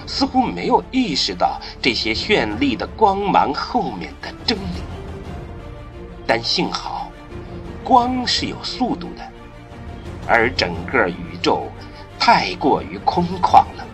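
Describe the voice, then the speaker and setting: A man narrating a science-fiction radio drama in a solemn, dramatic manner with weighty pauses. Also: medium pitch, huge pitch swings. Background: prominent music.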